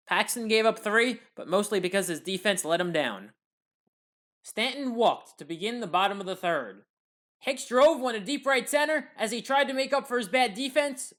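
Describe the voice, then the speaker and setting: A woman with an American accent delivering scripted play-by-play recap commentary as a sports highlights narrator. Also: fairly bright tone.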